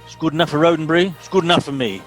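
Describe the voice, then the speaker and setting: A man's voice: fairly high pitch, racing, faint music behind, lightly acted. A man with an English accent playing a pompous, impatient middle-aged Home Guard captain in a comedy radio play. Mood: exasperated. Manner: officious, impatient.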